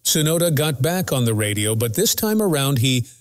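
A man's voice: mid-range voice, sing-song, moderate pace, a little hiss or hum. A man reading a scripted race recap as the narrator of a motorsport news channel.

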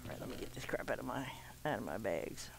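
A nasal, slightly stuffed-up voice with a gravelly, creaky edge, rambling through casual gameplay commentary. The talk is chatty and loose, full of "um"s and offhand swearing. Faint game music plays quietly underneath. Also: medium pitch, expressive, fast, rough recording. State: stuffed-up from swimming. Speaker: gamer recording a let's play.

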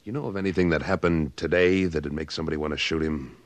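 A man's voice: a bass voice, fairly even intonation, fast talker. An actor playing a tough cattle rancher and former cavalryman who talks in a blunt, pressing way in a 1950s radio western. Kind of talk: 1950s radio drama acting.

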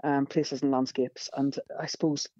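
A woman's voice: rumbling low, little pitch movement, normal speed, mellow, no echo, dull audio. A woman with a Northern Irish accent, a fishing guide telling stories as a podcast guest, enthusiastic and rambling with many fillers. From Northern Ireland.

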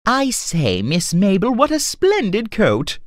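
A woman playing a cheerful, polite young male storyteller in an animated children's tale, with a courteous, theatrical manner. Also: mid-range voice, slow pace, dry recording, studio quality. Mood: pleased, musing.

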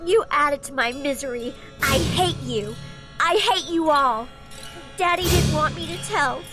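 Spoiled young girl woman acting, whiny and spiteful, extremely high, slow melodramatic delivery, radio horror. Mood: spiteful, furious. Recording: loud music under the voice.

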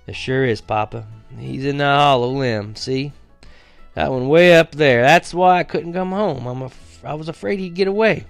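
A man's voice: on the high side, normal speed, gravelly, clear, resonant tone, no room sound, faint music behind, pitched above the performer's own voice. A man reading aloud and voicing a determined young farm boy who pleads earnestly. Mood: eager. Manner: earnest, pleading, raises voice when insistent.

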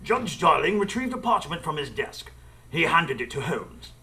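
Man acting a lively, gentlemanly storyteller. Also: a little high, heavily acted. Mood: composed. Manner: measured, literary storytelling. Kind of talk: scripted radio drama narration.

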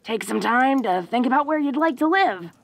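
A woman's voice: high voice, expressive, normal speed, creaky, full character voice. A woman playing a tough but secretly awkward, tender young orc woman in an intimate romantic audio roleplay, with a casual, folksy, hesitant way of talking. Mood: earnest, hopeful. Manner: casual, folksy, hesitant with frequent stammers and "huh?" tags.

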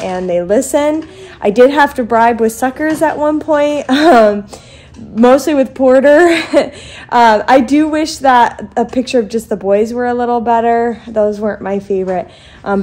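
A woman's voice: a little high, dark tone. Chatty mom vlogging from her basement. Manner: chatty, rambling with many ums. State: stuffed up, congested. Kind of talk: casual vlog chat to camera. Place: home basement playroom, indoors. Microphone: phone held close to the face.

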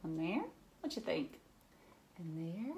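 A woman in her thirties reading a children's book aloud like a teacher, with expressive asides and questions to young listeners. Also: fairly low pitch, slow, a little hiss or hum. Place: indoors, living room at home.

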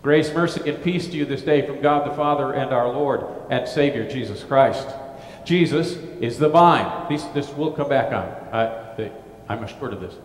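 A man's voice: medium pitch, moderate pace. A middle-aged male pastor giving a gospel reading and sermon in a brick church, chatty and informal, with asides and restarts. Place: brick-walled church sanctuary. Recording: echoey church with long reverb.

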